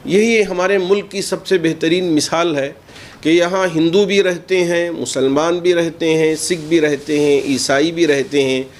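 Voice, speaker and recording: A man's voice: fairly high pitch, fairly even intonation, unhurried. A man delivers a statement in the role of a cleric. His wording is formal and his manner earnest, as if addressed to the news media. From India. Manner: earnest, formal Urdu-laced speech.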